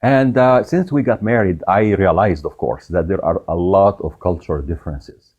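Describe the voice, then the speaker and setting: A middle-aged man from Egypt hosting a show, telling a personal story in an animated way with laughs along the way. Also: low-pitched, moderate pace, slightly rough, dull audio. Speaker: podcast host. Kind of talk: storytelling monologue.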